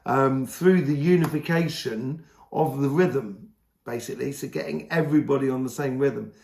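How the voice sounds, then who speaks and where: A hoarse man giving a casual, rambling tarot reading, full of fillers and restarts. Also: mid-range voice, roomy sound. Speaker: tarot reader.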